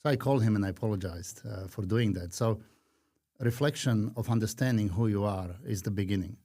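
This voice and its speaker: A man in his fifties from Slovakia with a Slovak accent, talking earnestly and reflectively as a podcast guest, with frequent pauses. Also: fairly low pitch, dark tone. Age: 50s. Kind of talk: conversational interview.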